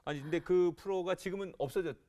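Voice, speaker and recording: A man's voice: sits high, very fast, crowd noise. A man hosting a studio talk show, prompting his guest with easygoing questions. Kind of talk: hosting an interview. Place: broadcast studio.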